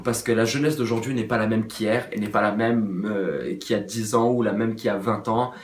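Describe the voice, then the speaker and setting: Earnest young man, low voice, echoey room. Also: steady tone, brisk, background noise. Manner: earnest, persuasive. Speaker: theatre director. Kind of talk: promotional interview.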